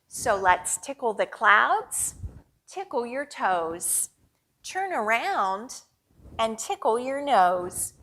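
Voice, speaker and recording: A woman hosting a children's storytime as a librarian, with an expressive, sing-song and deliberate delivery for young kids. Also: on the high side. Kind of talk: children's storytime, picture book read-aloud and action rhymes.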